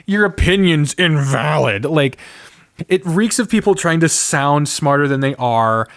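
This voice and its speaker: A man's voice: a little high, animated, unhurried, no echo. Exasperated, sweary man in a dry recording. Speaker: podcast host. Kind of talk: casual opinionated rant with read-out comments.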